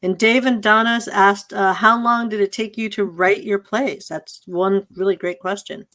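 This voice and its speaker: A woman's voice: mid-range voice, fairly even intonation, moderate pace, a bit bright. A woman informally hosting an online event over a video call, speaking in an effusive, thankful manner. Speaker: host and director.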